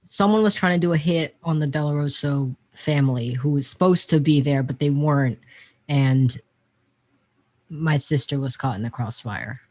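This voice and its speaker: Female tabletop player, low voice, casual group chat. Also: moderate pace, a little mellow.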